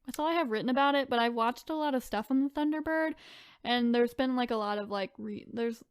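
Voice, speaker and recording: A woman's voice: fairly high pitch, a little fast, clean tone, no room sound, studio quality. A young woman co-hosting a podcast in casual, joking banter full of informal filler words. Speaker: podcast co-host. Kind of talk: casual comedic banter about creepy folklore.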